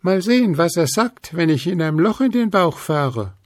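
A man's voice: a little high, very animated, moderate pace, dry recording, studio quality, pitched above the performer's own voice. A man voicing a cheeky little female forest elf in a storybook reading, speaking boldly and teasingly. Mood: mischievous. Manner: bold, teasing.

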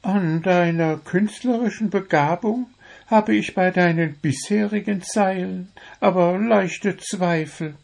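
A man's voice: fairly high pitch, a little mellow, pitched above the performer's own voice. A man voicing a small, proud, cajoling blue bird with romantic airs in a storybook. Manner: confident, cajoling, unruffled. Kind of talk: storybook character voice.

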